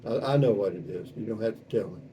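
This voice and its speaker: A man's voice: on the low side, fast, mellow. A man in his seventies with a Texan accent, chatting casually and reading out comments in a folksy, rambling, wry way. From South Texas, United States. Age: 70s. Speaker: vlog host. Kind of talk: casual chat, reading out and answering viewer comments. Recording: distant mic with faint background noise.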